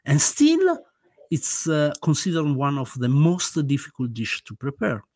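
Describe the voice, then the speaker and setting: A man's voice: a little high, wildly swooping pitch, measured pace, darkish tone, dry recording, clean studio sound. A man with an Italian accent, a guest author in a casual interview, speaking hesitantly with many fillers and restarts. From Italy.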